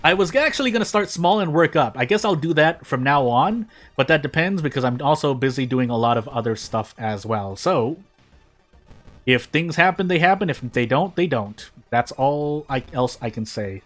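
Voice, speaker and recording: A man's voice: medium pitch, expressive, normal speed, fairly bright tone, soft background music. A man doing casual gaming video narration and commentary in a rambling, self-deprecating way. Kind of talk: casual gameplay commentary and fanfic reading.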